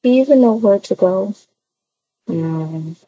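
Woman, mellow and unhurried, halting improvised game roleplay, slightly noisy dull recording. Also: medium pitch, lively intonation. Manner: hesitant, halting, with many hums. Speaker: roleplay video creator. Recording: dull muffled audio, faint noise.

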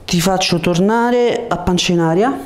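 A man's voice: sits high, measured pace. A man, a chiropractor coaching a patient in short, reassuring commands on a lapel mic in a small room. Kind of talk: calm hands-on instructions to a patient. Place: small treatment room indoors. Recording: noticeable room background noise.

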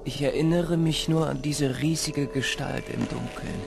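A grown man narrates his memories of an orphan boyhood in a radio play. He is wistful and measured, speaking slowly with a creaky edge, over faint music. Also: on the high side. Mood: wistful, reflective. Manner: measured, reflective.

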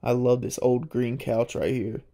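A young man, narrating a casual, excited walkthrough of an abandoned house. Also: mid-range voice, unhurried. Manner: excited, marveling, chatty. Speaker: urban explorer vlogger. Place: inside an abandoned old house.

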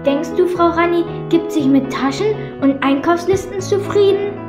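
A woman as a high-pitched bossy street girl. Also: a little slow. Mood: instructing. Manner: bossy, exasperated instructing. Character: bossy, impatient girl pickpocket training a newcomer. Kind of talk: children's audio drama acting. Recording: echoey room, music behind.

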